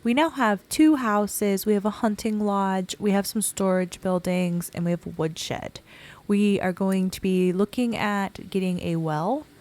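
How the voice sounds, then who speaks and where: A woman hosting a relaxed gameplay video, her voice scratchy and croaky from a cold, chatting in a laid-back, self-deprecating way. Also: medium pitch, measured pace. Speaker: gaming channel host. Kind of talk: casual gameplay commentary.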